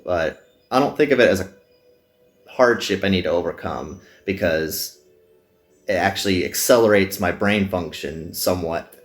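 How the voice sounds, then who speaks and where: A man hosting a gaming video, chatting candidly in casual, wandering commentary. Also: low-pitched. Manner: candid, wandering train of thought.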